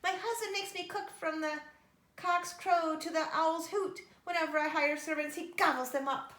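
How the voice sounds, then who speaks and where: A woman's voice: extremely high pitch, steady tone, normal speed, a bit bright, voice pushed up. A woman voicing a huge overworked giantess, roaring and exclaiming in a storybook reading. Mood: desperate. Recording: echoey room.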